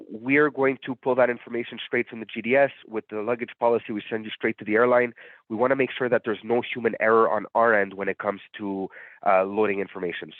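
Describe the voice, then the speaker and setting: A man's voice: fairly low pitch, dry recording. Nasal man with a cold, phone-like sound. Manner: relaxed, explanatory, frequent fillers. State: under the weather, nasal. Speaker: booking platform presenter. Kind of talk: live software demo walkthrough. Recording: dry, phone-like narrow sound.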